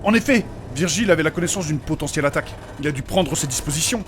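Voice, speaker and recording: A man's voice: on the high side, animated, fast, noisy background, close to an everyday voice. A man playing a courteous, pompous mage with formal, old-fashioned diction in a French fantasy comedy audio drama. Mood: formal, measured. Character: courteous, pompous, easily shocked male mage explaining lore.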